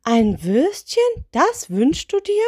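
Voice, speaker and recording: A woman's voice: on the high side, expressive, normal speed, darkish tone, no room sound, clean studio sound, voice pushed up. Lady doing a big grumpy lantern genie guy for a kids story, huffy, easily offended, sarcastic. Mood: incredulous.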